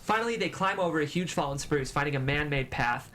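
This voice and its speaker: A man's voice: fairly high pitch, animated, a little fast, fairly bright tone, a little hiss or hum. A man reading stage directions as the narrator of a script at a table read.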